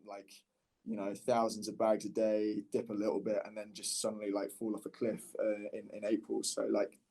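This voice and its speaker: A man's voice: fairly low pitch, completely flat delivery, normal speed, creaky, dark tone, rough recording. A man speaking casually as a podcast guest and startup founder, candid and often rephrasing mid-sentence. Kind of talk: casual conversational podcast interview.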